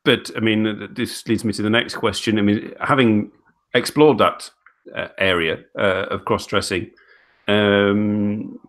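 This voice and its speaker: Low-voiced man hosting, halting delivery. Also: fairly even intonation, a bit bright. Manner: hesitant, halting, circling questions. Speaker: interviewer and host. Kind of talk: casual interview chat.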